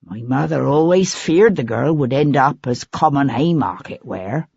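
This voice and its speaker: A narrator puts on a mild, self-effacing gentleman with a soft, slightly husky voice, lower than the storytelling voice. He sounds hesitant and apologetic, letting his lines lift like questions. The recording is dull and dry. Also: lively intonation, measured pace, no room sound, full character voice. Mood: meek, diffident. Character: ex-soldier man posing as a timid, humble gentleman. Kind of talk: audiobook character voice.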